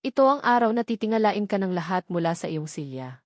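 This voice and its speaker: A woman's voice: mid-range voice, big pitch swings, fairly quick, no room sound, studio quality, natural voice. A woman voicing an arrogant, scornful male business rival in a story, pompous and taunting. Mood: gloating scorn.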